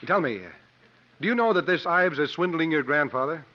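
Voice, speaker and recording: A male actor plays a confident travelling strongman in a vintage radio drama. His voice sits a little high and his phrasing is formal and theatrical. The old recording is muffled. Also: lively intonation, a little fast, close to an everyday voice. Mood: assured, probing. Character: confident travelling strongman showman, uncle, playing sleuth. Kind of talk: 1950s radio drama acting.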